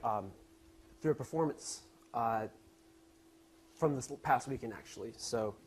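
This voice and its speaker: A young man giving an informal slide presentation in a hesitant, halting manner. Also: mid-range voice. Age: young adult. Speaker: student presenter.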